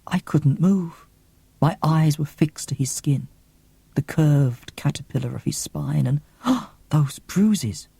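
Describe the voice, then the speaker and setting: Audiobook role: tender young female diarist, breathless and earnest, mellow, husky performed by a man. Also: a little high, slow, no echo, heavily acted. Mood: awed, nervous. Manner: wide-eyed, gasping, earnest storytelling.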